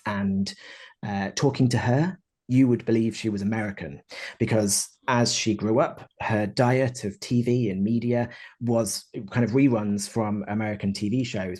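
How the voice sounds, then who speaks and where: A man's voice: on the low side, a little mellow. A man speaking as a panellist in a webinar discussion. He muses aloud and builds his points through examples, over a video call.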